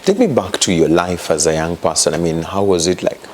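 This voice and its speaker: Interview host, man, deep voice, attentive, soft backchanneling between questions. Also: a little fast. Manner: frequent soft mm-hmm backchannels.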